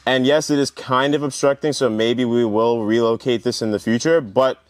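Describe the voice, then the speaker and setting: A man's voice: mid-range voice, steady tone, normal speed, dry recording. A man hosting a casual, hands-on car tutorial vlog in an enthusiastic, informal way.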